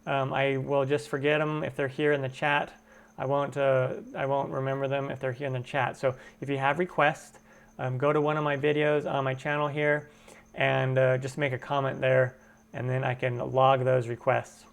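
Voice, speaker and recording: A man talks casually on a live stream, with a voice of ordinary, middle pitch. He greets viewers by name and chats through their comments in a relaxed, easygoing way. The sound comes from a computer webcam microphone at home. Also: moderate pace, creaky, clear, resonant tone. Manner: chatty, greets viewers and answers chat comments. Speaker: cooking channel host.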